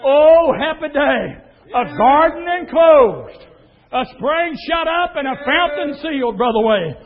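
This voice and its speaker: A man preaching a fiery sermon, dropping to a low murmur and then rising to a shout. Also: animated, takes it slowly. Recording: tinny phone-like sound.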